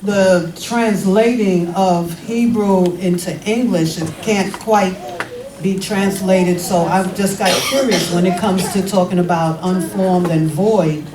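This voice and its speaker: A woman's voice: lowish voice, takes it slowly. A woman in a congregation asking a question about a scripture translation, hesitant and restarting often. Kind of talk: question in a group discussion. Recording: distant mic in an echoey room with a crowd.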